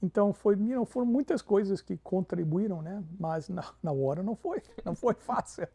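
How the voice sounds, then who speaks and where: Gravelly pastor, warm tone. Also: male voice, sits high, animated, normal speed. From Canada. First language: English. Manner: peppers Portuguese with English fillers. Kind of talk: interview storytelling.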